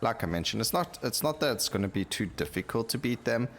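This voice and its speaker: A man's voice: on the low side, animated, fairly quick, fairly bright tone, a little hiss or hum. A man giving casual video game commentary, thinking aloud and explaining his choices as he plays. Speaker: gaming YouTuber.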